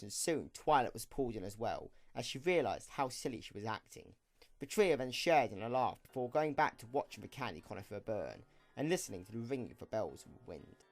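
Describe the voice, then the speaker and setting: Lively male storyteller, scripted narration. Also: medium pitch, no room sound.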